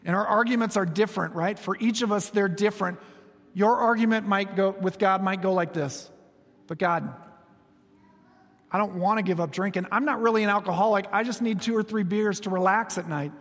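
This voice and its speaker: A man in his forties preaching a sermon, telling stories with humor and moving between soft, slow passages and quick, lively ones. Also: high voice. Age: 40s. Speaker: pastor. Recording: long reverb of a large hall.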